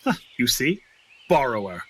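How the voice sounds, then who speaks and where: A man's voice: mid-range voice, big pitch swings, moderate pace, pushed and bright, breathy edge, exaggerated voice. A man acting in an audio drama as a sly accuser who mocks and insinuates with a sneer. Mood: smug. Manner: mocking, insinuating.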